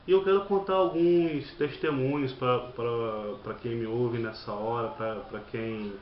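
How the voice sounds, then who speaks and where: Man, thirties, church testimony, halting, repeats words, echoey room, dull lo-fi sound. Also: medium pitch, fairly even intonation. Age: 30s. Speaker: church member giving testimony. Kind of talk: personal testimony.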